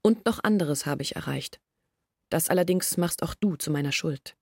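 An actress playing an earnest village woman who explains and confesses in a measured literary radio drama monologue. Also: low voice, gravelly, darkish tone, slightly rough, no echo, studio quality, heavily acted. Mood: candid confessing.

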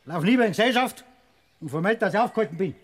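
An actor with a Bavarian accent playing a brusque, proud ducal hunter in a radio play, with a husky, barking voice. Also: male voice, high voice, lively intonation, quick pace. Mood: stern. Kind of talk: classic radio play acting.

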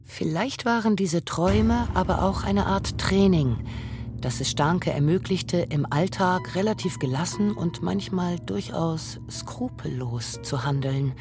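A woman reading scripted literary narration for a radio play in a measured, formal manner. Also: lowish voice. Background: faint music.

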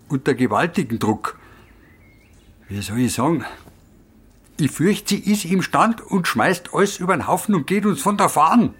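Self-important village chairman, Bavarian-Austrian accent, husky, ceremonial, ponderous, radio drama. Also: medium pitch. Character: solemn, self-important village man chairing a secret meeting.

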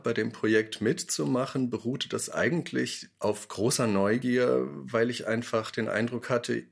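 A man's voice: mid-range voice, gravelly. A man giving a thoughtful interview statement as an author.

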